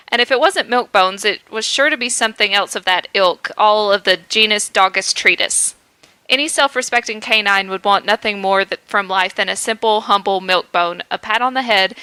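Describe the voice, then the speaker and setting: A woman's voice: mid-range voice, pushed and bright, clean tone, no echo. A woman hosting a podcast, reading a comic story aloud in a playful way and laughing at the text, into a podcast microphone. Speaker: podcast host.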